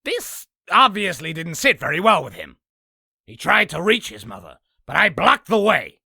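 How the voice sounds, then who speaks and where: A man voicing a boastful raspy demon, telling an exaggerated battle story theatrically for a comic dub. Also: sits high, sing-song, moderate pace, bright, forceful tone, dry recording, clean studio sound, full character voice. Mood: boastful, grandiose. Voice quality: raspy, gravelly. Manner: theatrical, self-aggrandizing. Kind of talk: comic dub character narration.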